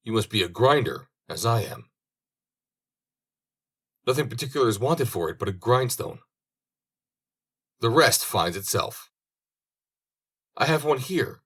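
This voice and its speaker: A man as a sly, merry tradesman, husky. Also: on the low side, a bit bright, full character voice. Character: merry, sly male scissors grinder peddling his trade.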